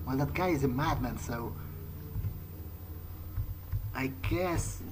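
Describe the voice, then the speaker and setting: A man's voice: mid-range voice, takes it slowly, background noise. A man giving in-character gameplay commentary, musing hesitantly to himself as he plays. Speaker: let's play gamer. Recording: game music behind the voice. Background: video game soundtrack.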